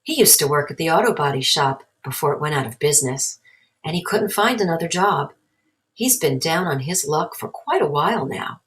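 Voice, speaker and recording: A woman's voice: deep voice, expressive, moderate pace, fairly bright tone. A woman voicing a boy's practical mother in a storybook, matter-of-fact and firm but caring. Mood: explaining.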